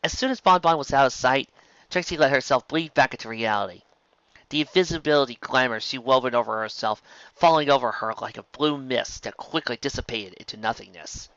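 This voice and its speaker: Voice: medium pitch, a little fast, fairly bright tone, no room sound, muffled recording. A narrator reading scripted fan fiction as an audiobook, in a storytelling style.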